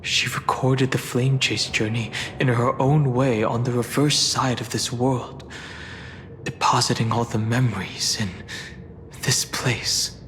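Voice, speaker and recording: An actor playing a solemn, thoughtful observer in a fantasy video game, speaking gravely and deliberately. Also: male voice, mid-range voice, fairly even intonation, a bit bright, a little hiss or hum, close to an everyday voice. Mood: grave, pondering. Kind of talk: dramatic video game voice acting. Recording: hall reverb, music behind.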